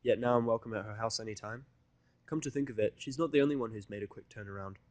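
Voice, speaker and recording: A man's voice: fairly low pitch, fast talker, no echo. Dry-sounding man narrating a story. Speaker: fan fiction narrator. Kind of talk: scripted first-person story narration.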